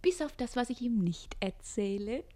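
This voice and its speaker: An actress playing a crafty, coquettish young chambermaid in a radio play, with a demure and coy manner. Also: female voice, a little high, animated, moderate pace, warm tone, lightly acted. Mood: coy, scheming. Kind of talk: classic German radio play acting.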